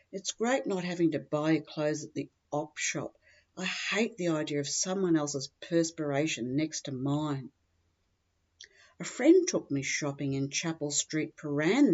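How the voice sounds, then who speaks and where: A woman's voice: low voice, a little mellow, muffled recording. A woman with an Australian accent reading a scripted humorous first-person story in a dry, matter-of-fact comic way. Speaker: humorous writer reading their own story.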